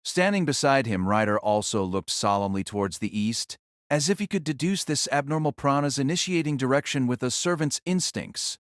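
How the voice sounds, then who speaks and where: A man's voice: medium pitch, lively intonation, normal speed, dry recording, studio quality. A man reading dramatic scripted story narration for an audio drama.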